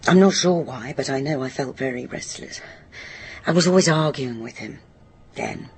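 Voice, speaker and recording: A woman's voice: a bass voice, lively intonation, normal speed, creaky, a little mellow, slight background noise, full character voice. A woman playing a troubled, guilt-ridden woman in her fifties in a radio drama, hesitant and brittle. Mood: subdued, hesitant. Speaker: actor in a radio play. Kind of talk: naturalistic radio drama acting, confessional.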